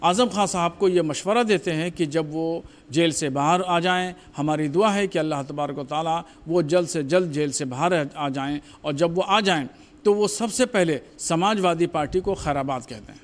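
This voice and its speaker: Cleric guy giving a formal statement, slightly high voice, big hall echo. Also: moderate pace. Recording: long hall-like reverb.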